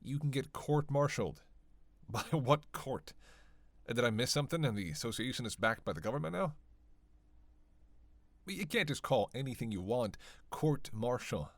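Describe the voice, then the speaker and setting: A man's voice: medium pitch, lively intonation, no room sound, natural voice. A man playing a witty, bloodthirsty vampire in a teasing roleplay monologue, sardonic and conversational. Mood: mocking. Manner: sardonic, playful, conversational with sighs and pauses. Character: teasing, witty, bloodthirsty male vampire, centuries-worn cynic. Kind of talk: flirtatious dark roleplay monologue to one listener.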